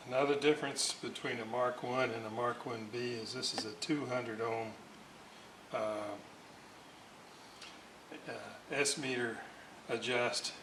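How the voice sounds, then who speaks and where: Man, slow hesitant workbench narration, distant camera mic, roomy echo. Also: medium pitch, sharp tone. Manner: slow, thinking aloud, many fillers. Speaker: electronics restorer. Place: workshop bench. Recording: echoey, distant, noisy workshop audio.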